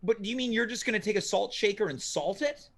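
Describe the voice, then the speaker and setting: Male host, high voice, dry mock-serious questions, comedy show. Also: expressive, fast talker. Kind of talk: comedic interview.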